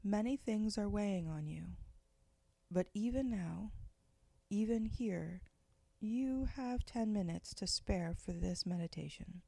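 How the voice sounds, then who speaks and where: A woman leading a guided meditation, giving slow, soft, gentle instructions with long pauses. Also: medium pitch, vocal fry, no room sound.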